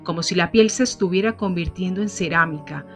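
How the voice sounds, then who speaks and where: A woman narrating a scripted gothic mystery story in a measured, solemn manner. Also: on the low side, faint music behind.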